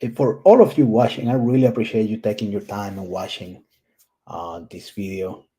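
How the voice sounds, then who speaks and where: A man's voice: fairly low pitch, moderate pace, dark tone, husky. A man with a thick Puerto Rican accent who talks through a coding build, casual and candid, thinking aloud with many ums and restarts. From Puerto Rico. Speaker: tech YouTuber. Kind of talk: screen-recorded coding walkthrough.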